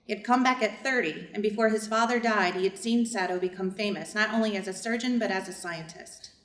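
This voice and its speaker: A devoted family servant tells the story, read by a woman in reader's theater. Her voice sits at an average female pitch with scripted storytelling phrasing. The room is noticeably echoey. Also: brisk, lightly acted. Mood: earnest storytelling.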